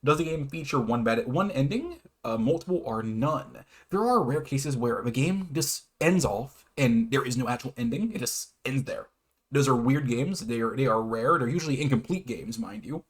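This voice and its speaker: A man's voice: mid-range voice, normal speed. A man hosting an informal video explainer, half reading and half ad-libbing, often stumbling, correcting himself and chuckling between phrases. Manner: hesitant, stumbles over words and self-corrects. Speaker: YouTube host presenting his own definitions.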